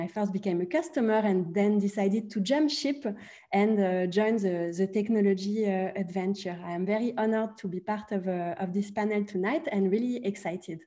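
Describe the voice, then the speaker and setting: A woman with a French accent introducing herself as a panelist on a webinar, polite and measured with frequent fillers. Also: medium pitch, mellow. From France.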